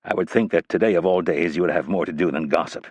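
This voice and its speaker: A hard-nosed spy guy, voiced by a man reading the book, low gravelly-deep and clipped, dry sarcasm, close dry sound. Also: quick pace, darkish tone, no echo. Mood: dry, curt. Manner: low, curt and sardonic. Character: tough blunt male covert operative, dry humor.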